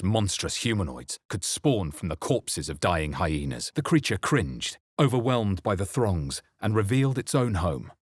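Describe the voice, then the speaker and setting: A man playing a wary, grave fantasy adventurer in a video game, with deliberate, theatrical delivery. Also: on the low side, fairly bright tone, no echo, clean studio sound, exaggerated voice. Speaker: video game player-character voice actor. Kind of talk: dramatic scripted game narration and voice lines.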